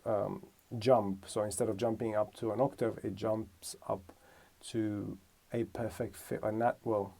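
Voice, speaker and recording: Gravelly man musing through a lesson. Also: lowish voice, level tone, unhurried, hardly any pauses, dark tone. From Romania. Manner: thinking aloud, self-correcting. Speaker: music teacher. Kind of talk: casual music lesson.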